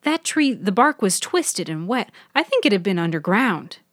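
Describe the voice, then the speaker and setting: A woman voicing an excitable young female intern in audio fiction, words gushing out with eagerness. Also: mid-range voice, normal speed, no room sound, clean studio sound. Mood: excited, gushing. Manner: unconscious, gushing stream of words. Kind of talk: audio fiction character reading.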